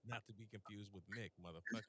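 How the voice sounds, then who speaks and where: Fast-talking laid-back male host. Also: lowish voice, fairly even intonation, pushed and bright, no echo. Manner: quick, laid-back, laughing often. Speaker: podcast host. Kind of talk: casual interview chat.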